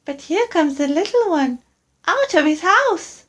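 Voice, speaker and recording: A woman reading a children's story aloud as narrator, with dramatic and deliberate phrasing. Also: very high voice, big pitch swings, fairly bright tone. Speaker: storyteller, audiobook reader.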